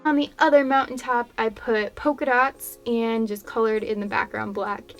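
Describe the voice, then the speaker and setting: A young woman with a congested, fading voice, chatting in a rambling, low-key way as she narrates her painting video. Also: fairly even intonation, moderate pace, faint music behind. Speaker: craft YouTuber. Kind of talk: casual voiceover chat over a painting demo.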